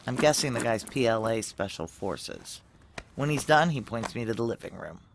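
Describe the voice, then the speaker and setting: A woman's voice: very deep voice, lively intonation, moderate pace, creaky, a little hiss or hum, exaggerated voice. A woman playing a tough, guarded ex-Army Ranger in a noir audio drama, with a blunt, terse, hard-boiled delivery. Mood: wry, tense. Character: tough, guarded female ex-Army Ranger leader plotting a heist. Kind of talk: noir audio drama, first-person narration and dialogue.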